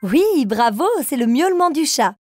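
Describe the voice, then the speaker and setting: A woman's voice: high-pitched, sing-song, moderate pace, noisy background. A woman playing a kind, teacherly grown-up who encourages small children through riddles in a cartoon. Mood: encouraging.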